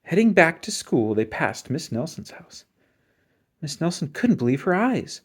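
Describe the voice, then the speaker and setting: A storybook reader giving an expressive children's read-aloud narration. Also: mid-range voice, a little slow, slightly rough.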